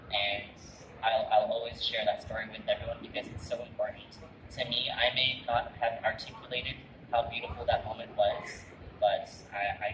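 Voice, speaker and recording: Guy telling a personal story, earnest and restarting a lot, mic way off, loud noisy background. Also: medium pitch, highs cut off. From Canada. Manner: earnest, warm reminiscing with frequent restarts. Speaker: chef giving a talk. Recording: roomy, distant mic with loud background noise.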